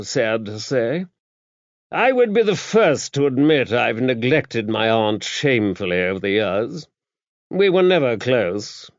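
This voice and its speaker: A man's voice: medium pitch, no room sound, highs cut off. Pompous upper-class English lord, acted by a man. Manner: pompous, drawling old-fashioned turns of phrase. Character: pompous, self-important older English lord, clipped and aggrieved.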